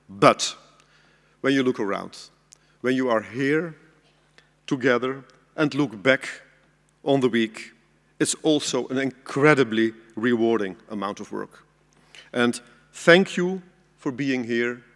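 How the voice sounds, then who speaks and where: A man delivering a formal closing speech for the organizing committee, measured and deliberate. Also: medium pitch. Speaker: speaker for the national organizing committee. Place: large conference hall. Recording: long hall reverb with music. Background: soft music.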